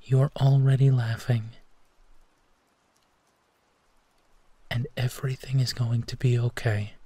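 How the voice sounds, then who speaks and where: A man playing a gentle, protective werewolf boyfriend in an intimate bedtime roleplay audio, speaking softly and soothingly with hesitant pauses. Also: mid-range voice, little pitch movement, breathy edge, dry recording, close to an everyday voice. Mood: reassuring. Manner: soft, soothing, hesitant pauses, often drops to whispering. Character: gentle protective male werewolf boyfriend comforting his partner.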